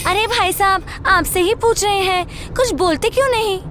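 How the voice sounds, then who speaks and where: A woman's voice: very high-pitched, moderate pace, a bit bright, faint music behind, voice pushed up. A woman voicing a jumpy, indignant young female traveller in a horror story, exclaiming and complaining. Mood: annoyed. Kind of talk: audio horror story acting.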